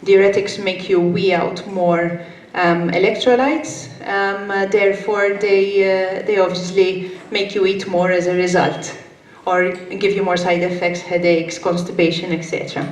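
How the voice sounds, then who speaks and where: A woman presenting at a conference, pausing often with fillers as she searches for words. Her voice rings in a roomy hall with a faint hum underneath. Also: on the low side, little pitch movement. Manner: hesitant, many fillers. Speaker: conference speaker. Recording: echoey hall with faint noise.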